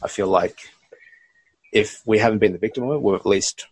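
A man's voice: fairly low pitch, a little fast, husky, no echo, rough recording. A young man, from Bangladesh and raised in Australia, chatting reflectively as a podcast co-host with lots of "like" and "kind of". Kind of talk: casual chat.

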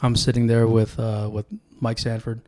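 A man's voice: on the low side, completely flat delivery, moderate pace, mellow. A man from Sacramento, California, whose first language was Tongan, talking as a podcast guest in a reflective, storytelling way. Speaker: podcast guest, political candidate. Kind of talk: casual podcast conversation.

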